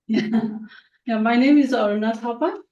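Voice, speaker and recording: A woman's voice: on the high side, moderate pace, darkish tone. Distant, echoey woman from Nepal. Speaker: student. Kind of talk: self-introduction in class. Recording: distant, echoey, dull call audio.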